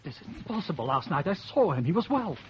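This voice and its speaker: Shaken businessman, old radio play, highish animated voice, muffled and hissy. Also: brisk. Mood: anxious, bewildered. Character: polite, shaken Dutch businessman, foreign visitor. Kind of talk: old-time radio detective drama acting.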